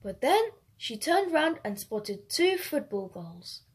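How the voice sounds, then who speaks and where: A woman storyteller reading a children's picture book aloud in an expressive, unhurried way. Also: high voice, breathy edge.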